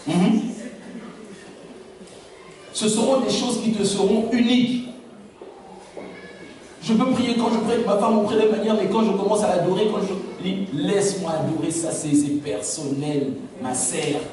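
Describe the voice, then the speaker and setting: A man with a fairly high voice preaches a sermon in a large, very echoey church. His native language is Lingala, and he keeps asking the congregation whether they understand. He is heard from some distance, with faint background noise. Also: fairly even intonation, measured pace, long pauses, a little mellow. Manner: preaching with rhetorical questions to the congregation. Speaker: pastor.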